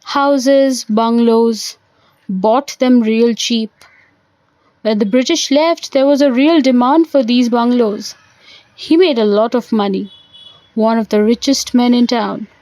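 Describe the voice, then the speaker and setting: A bitter ageing husband and dancer in an audio play, acted by a woman, speaking in a high voice. He is sardonic and brooding, posing scornful questions at a slow pace, in very dry, close sound. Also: mellow, dry recording, natural voice, poor audio. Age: older.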